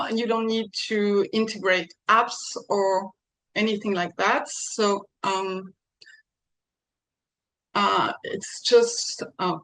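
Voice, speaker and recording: A woman presenting a tool over a video call, hesitant and filling pauses with ums and apologies. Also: mid-range voice, fairly bright tone, rough recording. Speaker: conference presenter. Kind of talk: live online tech demo.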